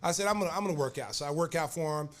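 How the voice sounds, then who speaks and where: A man's voice: a little high, very fast. An older man from Oklahoma, a podcast host and former basketball player, telling sports stories in casual talk full of fillers. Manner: animated, laughing storyteller with many fillers.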